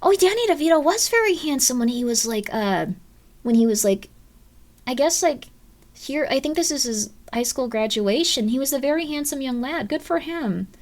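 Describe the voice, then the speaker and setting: A young woman chatting on a live stream, her slightly high voice jumping up and down as she talks. She rambles through viewer comments with loads of "like" fillers and an easygoing pace. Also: animated, moderate pace, a little mellow. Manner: rambling, lots of "like" fillers, laughs often, swings up and down in pitch.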